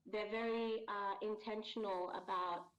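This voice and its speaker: A woman voice actor being interviewed as a guest, explaining her work in a measured, thoughtful way with many pauses. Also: mid-range voice, fairly even intonation. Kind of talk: casual interview chat. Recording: dull lo-fi sound.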